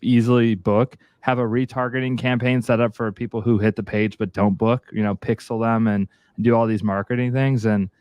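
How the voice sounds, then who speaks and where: A man's voice: mid-range voice, fairly even intonation, normal speed, hardly any pauses, clear, resonant tone, dry recording, muffled recording. A man hosting a podcast, talking conversationally as he shares experience and puts questions to a guest. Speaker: podcast host, investor. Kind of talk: conversational interviewing.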